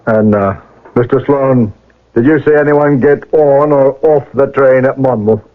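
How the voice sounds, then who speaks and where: Inquest coroner for radio mystery, male actor: mid-pitched man, courteous, formal, questioning, on dull vintage broadcast audio. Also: measured pace, lightly acted. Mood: formal, probing. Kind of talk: radio mystery drama acting. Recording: lo-fi old radio broadcast recording.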